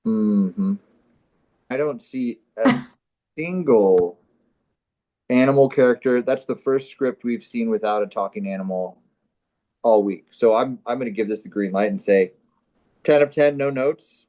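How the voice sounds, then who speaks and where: A man with a mid-pitched voice hosts a comedy livestream over a video call. He banters casually and keeps a deadpan, mock-serious delivery. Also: a little slow, pauses often. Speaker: co-host of a live comedy show.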